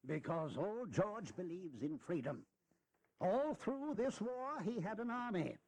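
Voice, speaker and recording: A high-pitched, animated old statesman in a historical audio drama. He is wily and philosophical, swooping through emphatic, deliberate oratory like a seasoned political persuader. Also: no echo, exaggerated voice, rough recording. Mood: philosophical, firm.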